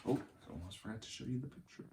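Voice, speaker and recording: Guy teacher reading a kids book out loud, real animated and dramatic, bit echoey room. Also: lowish voice, very fast. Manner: animated, dramatic storytelling for young children. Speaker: teacher reading a picture book aloud to children. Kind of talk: expressive children's read-aloud with chatty asides.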